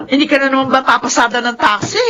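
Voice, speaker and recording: An actress playing a quick-tempered, nagging pregnant wife in a radio drama, scolding and wailing. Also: female voice, high voice, bright, forceful tone. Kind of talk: 1970s-style Filipino radio drama acting.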